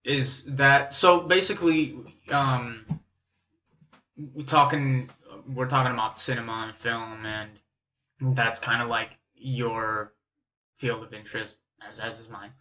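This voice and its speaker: A man's voice: medium pitch, steady tone, slow pace, pushed and bright, dull audio. A man from Romania who grew up in the US, hosting a podcast interview in casual, slangy, curious chat. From born in Romania, raised in the US from age eight. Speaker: podcast host interviewing a guest.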